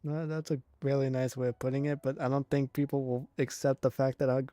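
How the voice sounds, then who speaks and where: A man's voice: medium pitch, little pitch movement, fairly quick, warm tone, no room sound. A man in his twenties, tearful and choked up, reacting casually and emotionally as he livestreams a game and mutters asides. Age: 20s. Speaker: game streamer.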